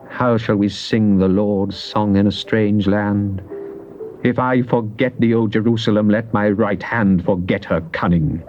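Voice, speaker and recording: An actor playing a fervent psalmist, solemn and theatrical in a dramatized Bible reading. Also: male voice, fairly low pitch, lightly acted. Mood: mournful. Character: fervent psalmist addressing God in prayer and lament. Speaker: scripture reader. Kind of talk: dramatized Bible reading, British stage delivery. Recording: muffled, noisy old cassette tape.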